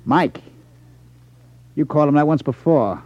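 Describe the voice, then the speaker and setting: Blustering radio crook with swooping pitch. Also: male voice, lively intonation, unhurried, a little mellow. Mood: sullen, baffled. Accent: American. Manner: blustering, threatening. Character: angry, menacing crooked gambling boss. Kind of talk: 1950s radio acting. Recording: muffled 1950s radio broadcast recording.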